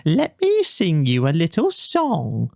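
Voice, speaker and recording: A man voicing a sneering chief weasel in a storybook, with a high, squeaky voice and a mock-formal speechmaking manner. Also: huge pitch swings, slow, creaky, a little mellow, no room sound, clean studio sound, heavily acted. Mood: gleeful, mocking. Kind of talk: storybook character voice in audio play.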